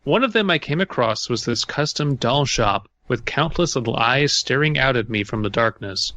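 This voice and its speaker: A man's voice: mid-range voice, lively intonation, normal speed, sharp tone. A man co-hosting a podcast, joking colloquially and reading a story aloud in casual group banter. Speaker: podcast co-host.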